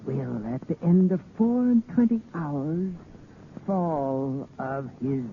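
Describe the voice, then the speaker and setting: An actor playing a cautious, deferential court astrologer in 1930s radio drama, hesitant, formal and portentous. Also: male voice, a little high, animated, slow. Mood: solemn. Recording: tinny, faintly noisy 1930s radio.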